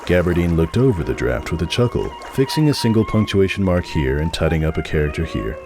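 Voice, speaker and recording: A man's voice: low voice, sing-song, fairly quick, over music. A man reading a story aloud as a scripted dramatic narration.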